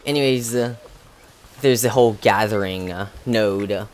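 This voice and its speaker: Young man rambling over a game stream. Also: lowish voice. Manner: rambling, halting, many restarts and fillers. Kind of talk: casual livestream commentary.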